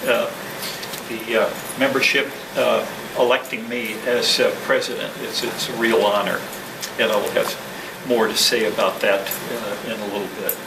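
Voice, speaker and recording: A man giving a modest, deliberate and halting informal speech as a club president at a lectern, picked up from a distance in a noisy room. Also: on the low side, level tone, vocal fry, reverberant. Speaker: newly elected club president.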